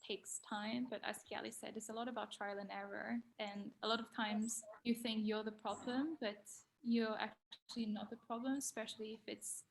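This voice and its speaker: A woman's voice: on the high side, little pitch movement, moderate pace, creaky, slight background noise, low-quality recording. A young woman speaking English as a second language, candid and a little hesitant as she reflects on a panel over a video call. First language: not English. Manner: hesitant, candid, self-deprecating. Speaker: design graduate panelist.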